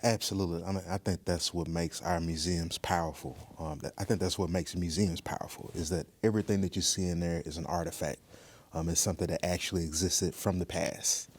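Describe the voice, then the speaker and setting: Man, deep voice, measured explanatory delivery, dry recording, podcast guest. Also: fairly even intonation, slightly rough, no echo. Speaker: museum director, podcast guest. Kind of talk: conversational interview.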